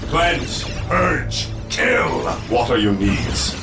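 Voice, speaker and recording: Zealous space marine sergeant, wildly swinging pitch. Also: male voice, sing-song, extremely slow. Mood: stern, zealous. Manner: clipped military commands, shouting. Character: zealous, battle-hardened male space marine squad sergeant. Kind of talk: video game unit battle barks. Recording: roomy, distant, loud music and machinery behind.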